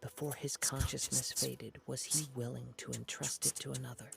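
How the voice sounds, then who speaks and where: A man voicing a calm, poetic young wandering swordsman in a video game, soft-spoken and formal. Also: mid-range voice, moderate pace, gravelly, heavy background noise.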